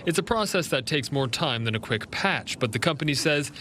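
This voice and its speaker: A man's voice: mid-range voice, animated, a little fast, background noise. A man with a Canadian accent narrating a news report as a field reporter, polished and scripted.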